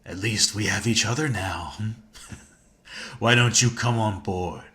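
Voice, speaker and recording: A man's voice: on the low side, slow pace, pushed and bright. A man voicing a wry, confident space-faring rogue in a video game, speaking in a knowing, sardonic way. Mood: scheming.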